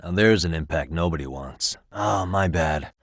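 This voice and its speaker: A man's voice: deep voice, moderate pace, no room sound. An actor in his thirties with an American accent playing an easygoing, wry private detective in casual video game dialogue. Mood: friendly, wry. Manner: casual, dry wry humour.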